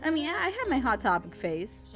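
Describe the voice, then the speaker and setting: A woman's voice: high-pitched, very animated, normal speed. Emphatic young woman over music. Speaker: reactor on a group reaction show. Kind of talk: casual reaction commentary. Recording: group commentary over loud background music.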